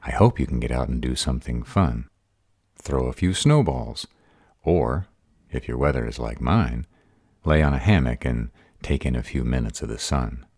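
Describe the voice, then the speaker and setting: A man's voice: extremely low pitch, normal speed, darkish tone, husky, no room sound, studio quality. A man speaking as a voice actor, giving a casual thank-you message to supporters.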